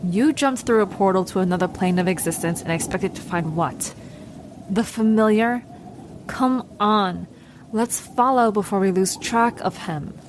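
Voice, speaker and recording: A woman's voice: mid-range voice, measured pace, slight background noise, natural voice. A woman playing a pragmatic, impatient adventurer in a fantasy audio drama, matter-of-fact and decisive. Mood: firm, impatient.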